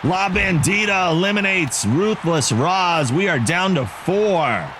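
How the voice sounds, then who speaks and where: A man giving excitable wrestling play-by-play commentary for a video game league, joking about himself between calls. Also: high-pitched, takes it slowly. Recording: game crowd and noise behind the voice. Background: video game arena crowd.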